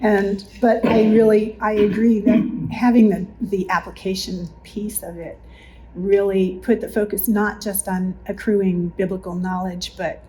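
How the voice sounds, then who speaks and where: Woman interviewee, reflective church member, mellow delivery with little pitch movement. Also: low-quality recording. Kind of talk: reflective spoken recollection in a group interview. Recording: faint background noise.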